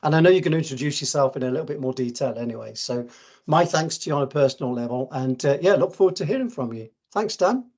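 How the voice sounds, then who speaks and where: A man hosting a webinar, politely and a little haltingly introducing the speaker. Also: fairly high pitch, animated, darkish tone, dull audio. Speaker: webinar host.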